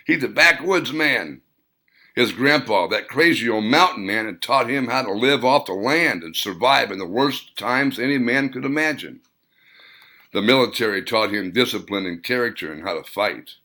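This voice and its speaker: A boastful old war veteran from a storybook, shrewd and quick to chuckle, as a man puts him on. The voice sits well above the reader's own and rings bright and forceful. He tells his tales in a raised, excited way. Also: medium pitch, pitched above the performer's own voice.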